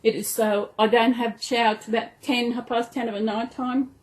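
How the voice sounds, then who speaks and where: High-voiced Australian woman chatting. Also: little pitch movement, moderate pace, rough recording. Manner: rambling, affectionate, talks to dolls and toys as if alive. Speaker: doll collector hosting a storytime video channel. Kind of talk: casual chatty vlog addressed to viewers and dolls. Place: indoors at home.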